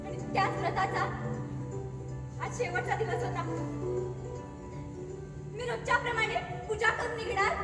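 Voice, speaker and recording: A woman on stage playing a devout village wife who earnestly defends herself to her scornful family. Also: extremely high pitch. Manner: earnest, pleading, indignant. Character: devout, long-suffering young village wife. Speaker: stage actor. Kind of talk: stage acting in a one-act play. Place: theatre stage. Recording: echoey hall, far mic, music.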